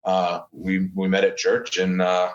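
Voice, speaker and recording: A man's voice: low voice, monotone, measured pace, dull audio. A man in his thirties, a podcast guest, talking casually and rambling with lots of fillers and restarts. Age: 30s. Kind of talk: casual chat.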